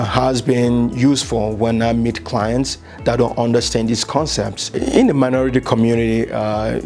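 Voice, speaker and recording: A middle-aged man from Liberia, talking earnestly about his insurance business, measured and with frequent fillers. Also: medium pitch, a little mellow. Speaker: insurance agent. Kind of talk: business promo interview.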